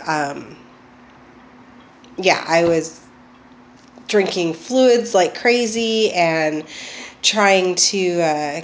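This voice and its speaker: A woman's voice: on the low side, slight background noise. A woman vlogging at home, chatty and hesitant with lots of ums, getting over a cold. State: recovering from a cold. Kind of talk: casual personal video diary.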